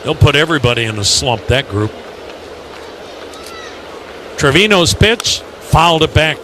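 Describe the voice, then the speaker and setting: A man calling live baseball play-by-play on the radio in measured, clipped phrases. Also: a little high, sing-song. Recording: loud ballpark noise behind.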